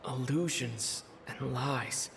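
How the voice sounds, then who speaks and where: A man voicing a pensive young traveler in an anime video game, reasoning aloud in a deliberate, musing way. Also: mid-range voice, gravelly, noisy background.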